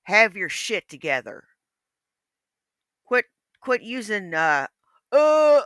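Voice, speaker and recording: A woman's voice: a little high, bright, forceful tone, smooth, dry recording, studio quality. A woman in her fifties, blunt, profane and mocking in casual livestream chat. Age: 50s.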